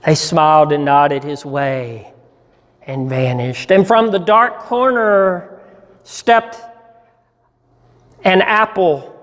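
Older guy in his 60s reading a story slow and deliberate, big echoey church hall. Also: fairly high pitch, steady tone, fairly bright tone. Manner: measured, deliberate storytelling with pauses. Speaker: pastor. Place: church sanctuary, standing at a lectern.

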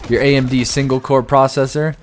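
A man's voice: medium pitch, fairly quick. A young man chatting casually and joking while playing a shooter game with a friend. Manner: animated, jokey, shouts in firefights. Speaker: gaming channel host. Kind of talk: casual co-op gameplay chat.

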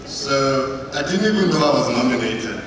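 A man giving a halting, reflective acceptance speech as an award winner at a stand microphone. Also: medium pitch, animated, a crowd in the background. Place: large indoor awards stage. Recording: very echoey hall PA, distant, noisy.

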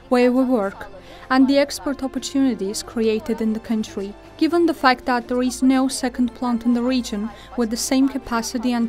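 Female corporate presenter: higher-pitched, formal and deliberate, scripted lines over a light music bed. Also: a little mellow.

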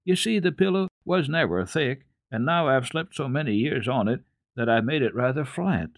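Man voicing a gentle old grandmother, raised pitch. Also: animated, moderate pace, no echo. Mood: resigned. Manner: gentle, reassuring. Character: frail, kindly, pious old grandmother in bed.

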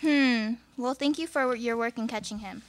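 Casting: female student as probing investigator, fairly high voice, plain delivery, faint noise. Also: normal speed, close to an everyday voice. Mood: businesslike. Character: businesslike detective questioning a captured thief. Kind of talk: live student radio play.

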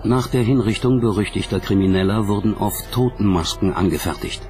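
A man's voice: lowish voice, fairly quick, darkish tone, soft background music. A man reading scripted documentary narration as the narrator.

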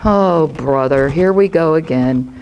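A big, lonely dragon who grumbles at first but is soft underneath, as a woman storyteller puts him on. The voice drops low and gruff. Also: unhurried, slight background noise, low-quality recording. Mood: reluctant. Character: gentle lonely male dragon.

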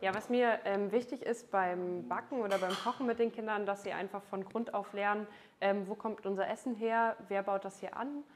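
A woman's voice: medium pitch, fairly even intonation, normal speed. A woman in her thirties talking in a casual interview about cooking with her children, friendly and encouraging, recorded on a lapel mic. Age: 30s. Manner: friendly, affirming with short yeses. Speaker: interviewee, mother.

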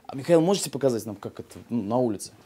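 A man's voice: mid-range voice, lively intonation, very fast, warm tone, slight background noise. A young man hosting a travel show, chatty and playful, chatting with people on an old town street and now and then breaking into song. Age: young adult. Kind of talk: travel vlog narration and street chat.

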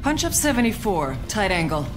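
A woman playing a brisk, seasoned captain of a commercial spaceship in a science-fiction game, businesslike and clipped. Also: mid-range voice, big pitch swings, over music, lightly acted. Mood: calm, businesslike. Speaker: starship captain.

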